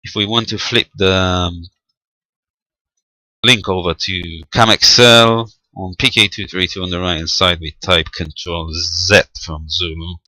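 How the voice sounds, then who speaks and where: A low-voiced man walks through a technical demonstration step by step in a very crisp, bright tone. He is unscripted and a little hesitant, thinking aloud as he works. The recording is close and dry. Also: steady tone, measured pace, dry recording, poor audio. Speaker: amateur radio tutorial presenter.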